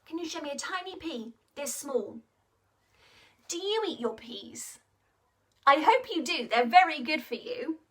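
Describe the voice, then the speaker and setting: A woman telling a story to children in an expressive, interactive way, asking questions and encouraging them to act along. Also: high voice, a little slow, long pauses, recorded from a distance.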